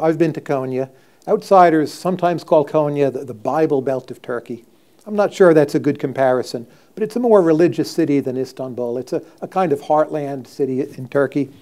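Middle-aged male host, fairly high mellow voice, direct probing questions, lapel mic on stage. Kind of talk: live stage interview. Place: stage before a live audience.

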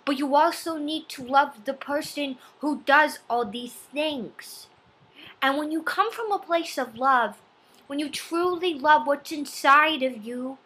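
A woman voicing an earnest fifteen-year-old nerdy boy giving a preachy, deliberate self-help video blog. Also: sits high, pushed and bright. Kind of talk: amateur self-help vlog monologue. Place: small home room. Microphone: laptop webcam at arm's length.

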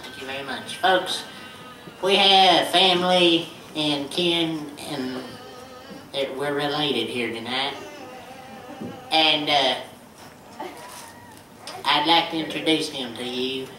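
A middle-aged man, fronting a bluegrass band and telling deadpan folksy jokes to a live theater audience between songs. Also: fairly high pitch, takes it slowly, long pauses, a little hiss or hum. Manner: folksy deadpan joking with the crowd. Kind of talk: stage banter between comic songs. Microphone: stage vocal mic, recorded by a far camera. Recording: echoey hall recorded from far off. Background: loud guitar and band music.

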